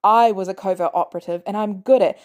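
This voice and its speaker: A woman's voice: medium pitch, fairly even intonation, quick pace, close to an everyday voice. A woman voicing a wary, defensive man, a former covert operative, with terse delivery in a story.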